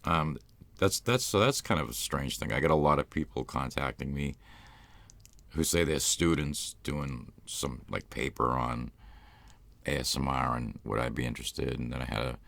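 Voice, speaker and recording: A man's voice: rumbling low, moderate pace, creaky, fairly bright tone, no room sound. A man with a Boston accent, hosting a live stream in loose, rambling casual chat. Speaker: ASMR channel host.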